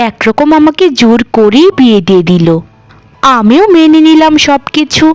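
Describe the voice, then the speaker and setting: A woman's voice: mid-range voice, dry recording, natural voice. A woman voicing a meek, sorrowful young new bride in a family cartoon, plaintive and pleading. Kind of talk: Bengali family cartoon dialogue.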